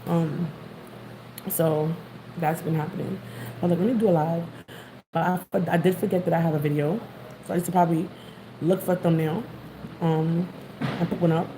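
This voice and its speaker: A woman's voice: lowish voice, steady tone, moderate pace, long pauses. A woman from Queens with a New York accent, chatting casually to viewers on a livestream while out on the street. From New York City, Queens. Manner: rambling, chatty, greets viewers. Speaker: vlogger. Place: outdoors on the street. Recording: noisy background.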